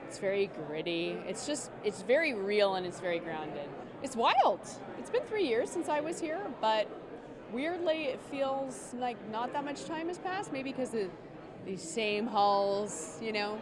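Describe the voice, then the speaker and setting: A woman's voice: on the high side, lively intonation, measured pace. A woman giving casual answers in an interview at a fan convention. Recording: crowded convention hall chatter.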